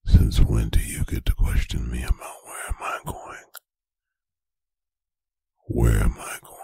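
A man playing a stern, angry, domineering boyfriend in a whispered ASMR roleplay, breathy and gravelly, with slow, deliberate, menacing phrasing. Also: very deep voice, no echo, full character voice. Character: stern, angry, domineering boyfriend scolding his adult partner. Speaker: ASMR voice performer. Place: bedroom, close and dry.

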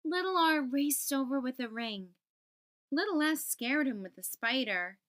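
Woman, children's book narrator, high pitch, unhurried, deliberate enunciation. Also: animated, a bit bright. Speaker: storytime host. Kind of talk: children's picture book read-aloud.

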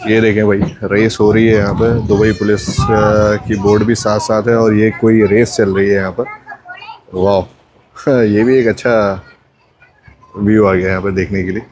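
A man's voice: lowish voice, little pitch movement, moderate pace, a little mellow, a little hiss or hum. A young man vlogging casually outdoors on a cruise boat deck, chatty, slipping English phrases into his talk. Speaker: travel vlogger. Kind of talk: casual walkthrough vlog.

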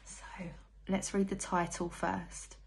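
A woman's voice: medium pitch, level tone, slow, gravelly, very noisy. A young woman, a primary school teacher, leading a read-along phonics lesson for small children, sounding out words letter by letter and putting questions to them.

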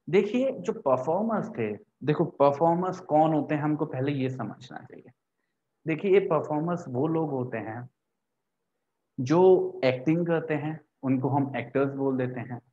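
A man's voice: mid-range voice, a little mellow, dull audio. A young man lecturing as a teacher, explaining step by step with repeated points and questions he answers himself. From India. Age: young adult. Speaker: law teacher. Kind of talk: lecture to camera, Hindi mixed with English terms. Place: small indoor room with a whiteboard. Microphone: lapel mic.

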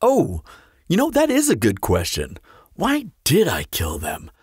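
Here is a cocky immortal male vampire, acted out by a man in an audio roleplay. He teases and flirts with sardonic, theatrical flair. His pitch leaps and dips wildly, his pace is unhurried, and the sound is dry and close. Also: wildly swooping pitch, slightly rough, dry recording, exaggerated voice. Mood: mock pondering, amused. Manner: playful, drawling, theatrical, sardonic. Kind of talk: scripted audio roleplay acting.

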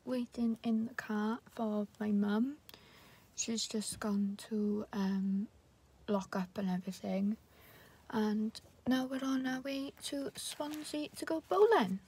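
A young woman narrating a casual vlog in a soft, relaxed way. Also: a little high, slow pace, noisy background. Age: young adult. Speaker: vlogger.

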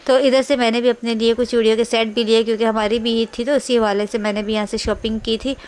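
Female shopping vlogger, Pakistani accent, higher-pitched and clear, casual rambling voice-over. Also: little pitch movement, fast talker, a little mellow.

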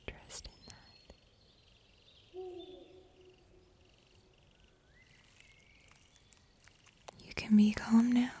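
A young woman playing a gentle mystical healer in an ASMR fantasy roleplay, whispering slowly, breathily and tenderly. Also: on the high side, slightly rough, exaggerated voice. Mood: soothing, reassuring. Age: young adult. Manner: slow, soothing, tender. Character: gentle mystical female healer comforting a weary wanderer. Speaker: ASMR performer.

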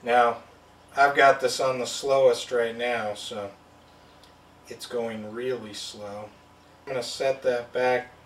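A man's voice: mid-range voice, steady tone, slow, pauses often, fairly bright tone, far from the mic, slight background noise. A man giving a plain, step-by-step product demonstration at a table indoors. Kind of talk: hands-on product demo. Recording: roomy sound from off-mic.

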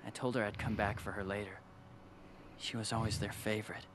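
A man's voice: lowish voice, little pitch movement, fairly quick, natural voice. Man acting brash young adventurer, noisy ambience. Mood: subdued, regretful. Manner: casual, youthful, blunt. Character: brash, protective teenage adventurer, a devoted brother. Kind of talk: video game cutscene acting.

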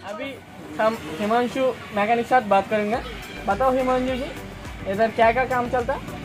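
A man's voice: very high voice, steady tone, normal speed. Young vlogger, a man, over music. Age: young adult. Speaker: vlogger, host. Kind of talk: vlog presenting to camera. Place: outdoors at a roadside garage.

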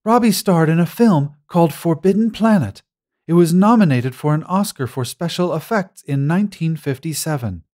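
A man's voice: fairly high pitch, normal speed, a little mellow, voice pushed up, close to an everyday voice. A man voicing a studious, curious young girl in an audiobook story, with an earnest, simple manner. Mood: eager, informative.

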